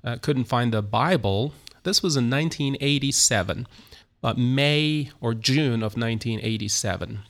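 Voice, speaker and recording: Turkish-accented man, gravelly and halting. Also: medium pitch. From Turkey. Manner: halting, many fillers and restarts. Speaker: church planter. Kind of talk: interview guest telling his story.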